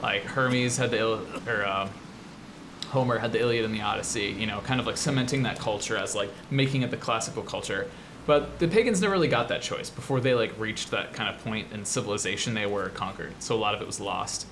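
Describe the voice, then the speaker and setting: A man's voice: on the low side, fairly quick. American man, casual commentary over noisy background. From US Midwest. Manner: rambling, explanatory, enthusiastic. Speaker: show host, 3D artist. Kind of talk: casual game commentary while playing.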